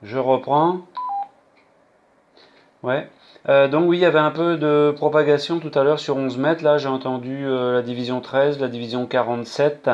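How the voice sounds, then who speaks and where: A man's voice: medium pitch, steady tone, normal speed. A man chatting casually as an amateur radio operator on a handheld set. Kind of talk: casual two-way radio chat. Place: indoors, apartment. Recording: dull lo-fi handheld radio audio.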